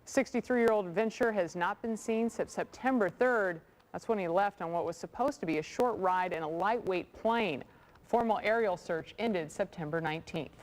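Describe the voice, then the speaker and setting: A woman's voice: medium pitch, moderate pace. A woman news anchor reading national stories from a script on a television newscast.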